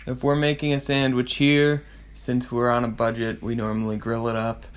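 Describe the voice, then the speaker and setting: A man's voice: medium pitch, completely flat delivery, moderate pace, clean tone. A young man in a small bedroom, answering questions about his fiancée in a joking, easygoing way, picked up by a camera on a tripod. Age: young adult. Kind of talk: casual couple chat.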